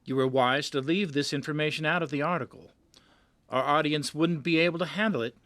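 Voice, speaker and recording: A man's voice: a little high, a little fast, fairly bright tone, no echo, close to an everyday voice. A man playing a pushy, patronizing magazine editor in an audio drama, cajoling in a theatrical manner. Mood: coaxing. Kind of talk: amateur audio drama acting.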